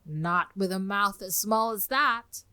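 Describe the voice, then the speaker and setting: A woman voicing an old gray male wasp in a yellow wig, querulous and blunt, with rustic, uneducated turns of speech in a dramatic storybook reading. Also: mid-range voice, takes it slowly, clear, resonant tone, no echo. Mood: blunt, critical.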